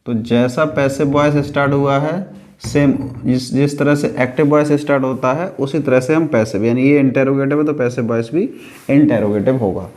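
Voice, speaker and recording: A man's voice: medium pitch, normal speed, a little mellow. A man with an Indian accent teaching an English grammar lesson step by step, repeating phrases and answering his own questions. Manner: didactic, repeats phrases and asks himself questions then answers.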